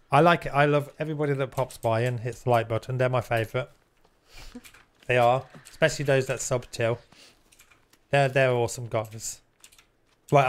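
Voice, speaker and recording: A man chatting casually while live-streaming a game, reading out viewer comments and swearing now and then. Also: medium pitch. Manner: chatty, swears casually, reads chat aloud.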